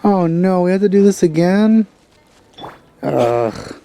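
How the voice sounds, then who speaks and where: A man's voice: sits high, lively intonation, takes it slowly, warm tone. A man giving casual let's play commentary in a grumbling, exasperated manner. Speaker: let's player.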